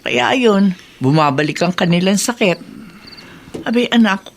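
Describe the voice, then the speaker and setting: A worried older mother, voiced by an actress, speaks with motherly fretting and gentle scolding in radio-drama style. Her intonation rises and falls freely over faint background ambience. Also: mid-range voice, expressive, unhurried, slight background noise, natural voice. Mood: fretful concern. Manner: fretful, motherly, coaxing. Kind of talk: Filipino radio drama acting.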